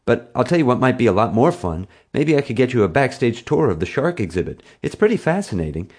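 A man's voice: lowish voice, lively intonation, a little fast, a little mellow. A man voicing a smart, anxious schoolboy who explains things and gets evasive under pressure, in an audiobook story. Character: smart, anxious schoolboy, son of zoo scientist.

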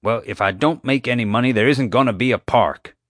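A man's voice: mid-range voice, very animated, moderate pace, no room sound, studio quality, pitched above the performer's own voice, close to an everyday voice. A man voicing a blustering middle-aged male park owner who talks in a folksy, pushy, defensive way.

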